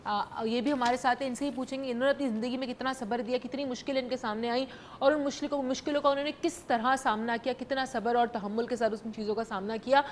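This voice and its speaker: A woman's voice: fairly high pitch, fairly even intonation, quick pace, a little hiss or hum. A young woman with a Pakistani accent hosting a live call-in TV show, chatting freely in Urdu laced with English. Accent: Pakistani Urdu, mixing in English. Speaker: host. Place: TV studio.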